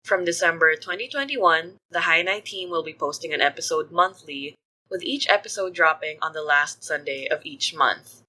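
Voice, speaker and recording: A woman's voice: low-pitched, lively intonation, moderate pace, sharp tone, low-quality recording. A woman podcast producer reading scripted credits and announcements to listeners.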